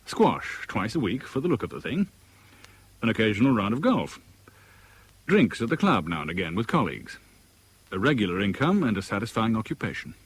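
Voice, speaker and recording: Wry British banker, very expressive intonation. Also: male voice, fairly low pitch, low-quality recording. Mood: wry, reflective. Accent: British English. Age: 40s. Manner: measured, dryly formal, articulate. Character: wry, orderly forty-year-old sacked merchant banker, male. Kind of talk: 1970s British radio drama acting, first-person narration. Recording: 1970s radio recording, faint background noise.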